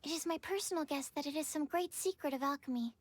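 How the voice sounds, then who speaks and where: An eager young alchemy student voiced by a woman speaks in a fairly high voice. She is polite and earnest, and pleads a little as she asks for help. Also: fast, a little hiss or hum, lightly acted.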